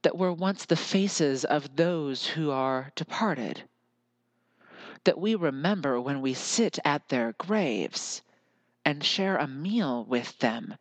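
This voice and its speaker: An earnest American podcaster muses alone into the mic and slips into lyrical, repeating phrases. The voice sits at a middle pitch, and the recording is very dry, with no room sound. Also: animated, takes it slowly, dry recording. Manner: earnest, musing, drifts into lyrical repetition. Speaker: podcast host. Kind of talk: reflective solo podcast monologue.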